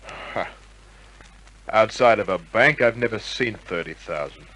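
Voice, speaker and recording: An actor playing a wisecracking, cool private detective in old-time radio crime drama, with a wry, clipped delivery. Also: male voice, on the low side, expressive, unhurried, sharp tone, heavily acted, poor audio. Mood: defiant. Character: wisecracking hard-boiled male private detective, cool under pressure.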